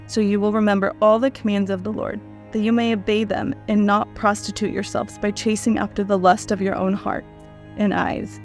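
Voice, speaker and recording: Woman with music behind her. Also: medium pitch. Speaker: guest and Bible reader.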